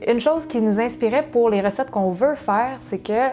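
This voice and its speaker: A woman from Quebec with a Québécois accent chats through a relaxed Q&A, quick and full of restarts. Her voice is mellow and soft-edged, with faint music playing under it. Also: fairly high pitch. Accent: Québécois French. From Quebec, Saguenay. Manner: chatty, fast, with many fillers and restarts. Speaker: cooking channel co-host. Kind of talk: casual Q&A chat.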